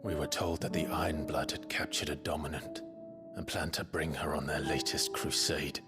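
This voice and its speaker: A man playing a grim, guilt-haunted young nobleman in a video game, grave and restrained, often hushed. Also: rumbling low, little pitch movement, normal speed. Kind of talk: dramatic game voice acting. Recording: background music under the voice.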